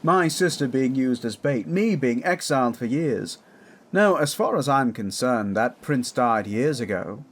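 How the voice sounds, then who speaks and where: A man's voice: medium pitch, expressive, a little slow. A man playing an exiled, defiant prince and protective brother in an earnest, dramatic audio drama style. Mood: bitter. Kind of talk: audio romance drama acting.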